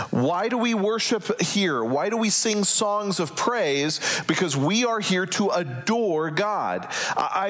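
A man's voice: high voice, a bit bright. A male pastor preaching to his congregation in a church, starting with some wry, joking remarks and then moving into earnest, conversational Bible teaching. He projects his voice without a microphone. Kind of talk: sermon. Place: church sanctuary. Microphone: no microphone, loud projecting voice.

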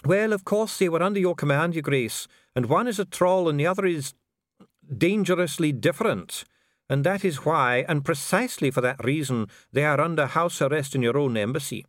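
A man voicing a calm dwarf official with a measured, bureaucratic, smoothly courteous manner in an audiobook. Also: a little high, no room sound, clean studio sound, pitched above the performer's own voice, lightly acted. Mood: coolly polite. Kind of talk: audiobook character voice.

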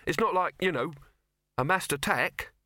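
A man's voice: fairly high pitch, expressive, normal speed, sharp tone, no room sound, clean studio sound, voice pushed up. A man voicing a skinny, earnest, pedantic youth in an audiobook, hesitant and forever correcting. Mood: doubtful. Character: skinny, earnest, pedantic male youth, timidly contradicting his boss.

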